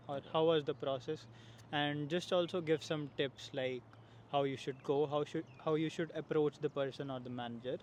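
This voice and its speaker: A man's voice: on the high side, slight background noise. Young man hosting a casual chat. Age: young adult. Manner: friendly, asks questions, repeats himself. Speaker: host, international student. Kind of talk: casual conversation. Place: outdoors in a city, traffic nearby.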